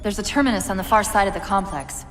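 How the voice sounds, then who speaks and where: A woman playing a quick-witted, wry female AI companion in a video game cutscene, articulate and expressive. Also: mid-range voice, big-room sound, soft background music, natural voice. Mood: wry, focused.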